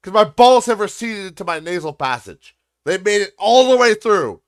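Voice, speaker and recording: A man's voice: high voice, lively intonation, moderate pace. A young man with a nasal voice hosting a solo podcast, ranting with exasperation, profanity and lots of restarts. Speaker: podcast host.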